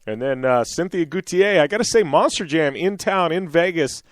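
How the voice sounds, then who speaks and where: A man hosting a motorsports radio show, talking casually with lots of fillers and restarts, his voice a little raspy after a cold. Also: fairly high pitch, expressive, moderate pace, no echo, clean studio sound. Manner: rambling, many fillers and restarts. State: slightly raspy from losing his voice.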